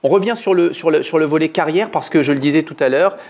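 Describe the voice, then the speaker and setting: A man's voice: fairly high pitch, quick pace, darkish tone. A man hosting a TV talk show, asking long rambling questions with fillers. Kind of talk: TV interview questions.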